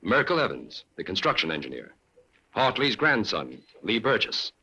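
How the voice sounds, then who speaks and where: An actor playing a brisk, determined male crime-fighting investigator in a 1940s radio drama. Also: fairly low pitch, very animated, creaky, pushed and bright, slightly rough, lo-fi sound, heavily acted. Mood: explaining. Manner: brisk, urgent, commanding.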